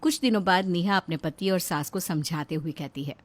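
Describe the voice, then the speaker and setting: A woman's voice: lowish voice, moderate pace, no echo. A woman storyteller reading a scripted narration for a moral family story.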